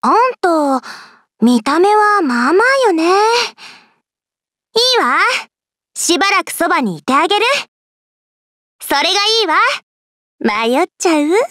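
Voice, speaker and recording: A petulant young noble lady in anime game style, with an extremely high, bright girlish voice. She is theatrical and haughty, her pitch leaping about, recorded dry. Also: very animated, moderate pace, long pauses, no room sound, exaggerated voice. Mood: smug, haughty. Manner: pompous, pouty, theatrical.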